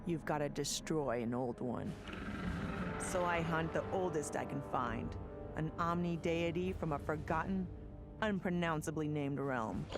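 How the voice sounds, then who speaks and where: A battle-hardened American commander in a video game. Her voice is low and slow, dry and resolute. Music swells behind her. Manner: dry, resolute, plain-spoken. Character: tough middle-aged female soldier, wry protective commander. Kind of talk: scripted video game ending monologue. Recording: music under the voice.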